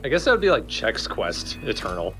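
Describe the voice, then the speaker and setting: A man's voice: medium pitch, wildly swooping pitch, fairly quick, a bit bright, soft background music. A man giving casual, joking commentary alongside others playing a video game. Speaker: let's play co-commentator.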